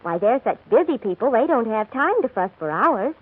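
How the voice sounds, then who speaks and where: A gushing young movie fan in a 1940s radio commercial. Her high voice swoops with emphatic admiration over a tinny, narrow recording. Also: very animated, normal speed, breathy edge. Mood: starstruck. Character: gushing, starstruck young woman at a movie premiere.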